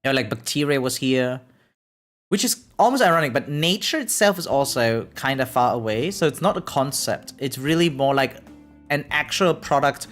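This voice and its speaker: Live game streamer, medium pitch, casual chat, rambling, thinking aloud, background music. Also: lively intonation, normal speed, a bit bright. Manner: rambling, repeatedly tags sentences with "right?". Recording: music playing behind the voice.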